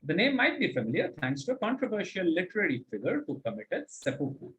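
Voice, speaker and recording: A male quizmaster with a fairly high voice and very animated intonation. He reads questions articulately, then adds encouraging comments and explanations as he goes. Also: fast talker, highs cut off. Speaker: quizmaster and host. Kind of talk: reading quiz questions and commenting on answers.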